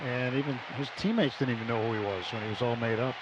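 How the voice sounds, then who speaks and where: Male American colour commentator, average pitch, casual delivery, live radio booth with loud ambient background. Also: fast, background noise. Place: stadium broadcast booth.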